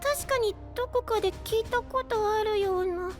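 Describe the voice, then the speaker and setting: A man's voice: extremely high pitch, moderate pace, over music. A male voice actor playing a sweet, earnest, easily flustered small boy knight, polite and eager, in an anime-style voice drama. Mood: bewildered. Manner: polite, eager, wide-eyed.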